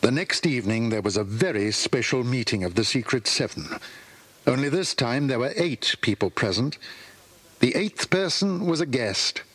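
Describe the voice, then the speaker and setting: A male narrator reads a scripted children's story. His voice is mid-pitched, with a husky edge. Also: expressive, normal speed, a bit bright.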